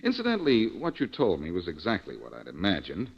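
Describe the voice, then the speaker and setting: A man's voice: sits low, huge pitch swings, fast talker, vocal fry, slightly rough, full character voice. A man playing a shrewd, hard-nosed private detective in 1940s radio style, clipped and wisecracking. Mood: sardonic, probing. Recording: dull lo-fi old radio recording.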